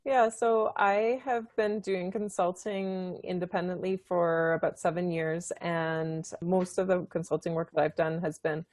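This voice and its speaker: Woman from Canada, low-pitched, cheerful consultant on a headset, very dry sound. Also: fairly even intonation, a little mellow, no room sound. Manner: cheerful, laughs often, quick bursts. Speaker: independent consultant. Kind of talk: video call interview. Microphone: headset on video call.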